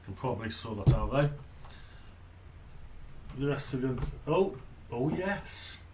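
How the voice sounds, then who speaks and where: A middle-aged man giving casual unboxing commentary, thinking aloud in an unhurried way as he handles things at a desk in a small room. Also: medium pitch, animated, a little mellow, a little hiss or hum, rough recording. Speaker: hobbyist reviewer. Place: small home office, indoors.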